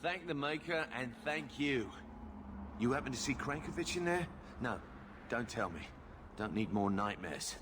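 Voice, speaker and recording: A man playing a gruff, wryly humorous working-class mine foreman in a video game, blunt and colloquial. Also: mid-range voice, expressive, normal speed, background noise, lightly acted. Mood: wry, rattled. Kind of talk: video game dialogue acting.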